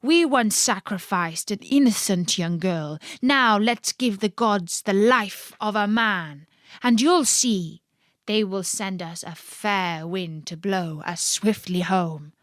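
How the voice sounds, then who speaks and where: Woman voicing slow, scheming Odysseus, Greek commander. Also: medium pitch, dry recording, clean studio sound, lightly acted. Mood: scornful, scheming. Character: Odysseus, wily Greek male leader, cold and commanding.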